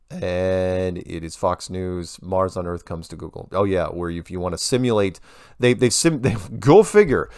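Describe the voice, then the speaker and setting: A man's voice: deep voice, smooth, dry recording, studio quality. A man hosting a show, reading listener emails aloud and commenting casually with wry asides.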